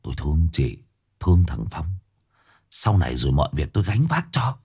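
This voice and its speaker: Gruff, scheming middle-aged villager, played by the narrator, low and muttering. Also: male voice, huge pitch swings, fairly quick, heavily acted.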